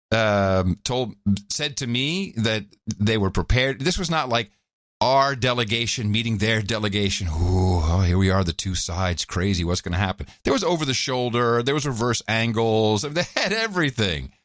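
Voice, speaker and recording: A man co-hosting a podcast in casual chat, wry and animated, laughing often. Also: fairly low pitch, normal speed, no room sound, clean studio sound. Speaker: podcast co-host.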